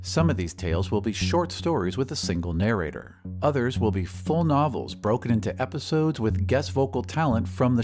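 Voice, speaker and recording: A male podcast host narrates an audio drama in a measured, expressive way. His pitch moves a lot as he goes, and faint music plays underneath. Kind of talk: scripted storytelling narration.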